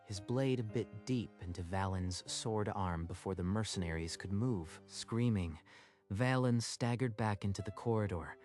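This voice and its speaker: Guy narrating a dramatic story, lowish voice, scripted, music playing under him. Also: moderate pace, darkish tone. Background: background music.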